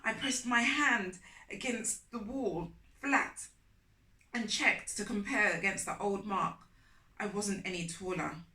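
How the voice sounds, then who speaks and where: Slow, bright-voiced woman reading a children's story. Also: mid-range voice. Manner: deliberate, emphatic phrasing for children. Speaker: children's storytime host and reader. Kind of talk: expressive read-aloud storytelling. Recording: roomy echo, distant microphone.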